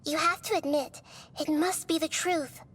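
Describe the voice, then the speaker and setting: Casting: wise, girlish game guide, gentle and composed, high voice, slightly distant. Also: a little hiss or hum. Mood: calm, knowing. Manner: calm, measured explaining. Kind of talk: video game voice acting.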